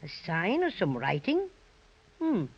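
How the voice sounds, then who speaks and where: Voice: mid-range voice, wildly swooping pitch, measured pace, slightly rough, full character voice. A performer playing a small, plucky, self-important toy bear in a 1930s children's radio drama. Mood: bossy, huffy. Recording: dull vintage 1930s radio recording.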